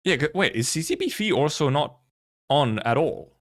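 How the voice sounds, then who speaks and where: A man live streaming in casual chat, rambling and haltingly thinking aloud, with some offhand swearing. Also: fairly high pitch, big pitch swings, fairly bright tone. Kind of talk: casual chat, reacting to viewers.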